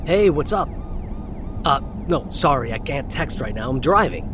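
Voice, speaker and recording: An actor playing a young delivery driver, a nervous, halting, chatty man on a phone call in an audio drama. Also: mid-range voice, lively intonation, over music, lightly acted. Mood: casual, slightly defensive. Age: young adult. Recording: clear vehicle and outdoor ambience noise.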